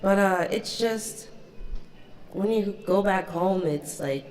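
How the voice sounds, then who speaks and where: A young woman born in DC and partly raised in Ghana, chatting casually as a podcast guest with a relaxed, pause-filled manner. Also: medium pitch, roomy sound, soft background music. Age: young adult. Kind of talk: casual chat.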